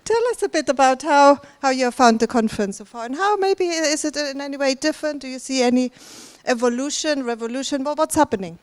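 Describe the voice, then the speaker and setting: A woman's voice: high-pitched, brisk, few pauses. A woman from Germany hosting a conference, chatting with the audience and laughing often. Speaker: conference host. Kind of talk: conference stage address. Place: large conference hall.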